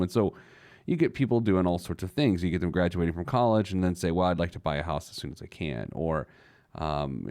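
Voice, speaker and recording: A man's voice: deep voice, warm tone, no echo. An American man in his late thirties hosting a casual radio show on his own, rambling along in a wry, conversational way. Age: late 30s. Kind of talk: casual radio monologue on personal finance.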